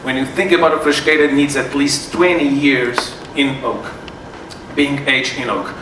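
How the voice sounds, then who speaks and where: Brief: Portuguese-accented man presenting a seminar, halting delivery full of restarts, heard from a distance in a roomy hall with background noise. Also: mid-range voice. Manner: halting, many fillers and restarts. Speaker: sommelier giving a wine seminar. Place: event hall with tasting tables. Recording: echoey room, far from mic, noisy.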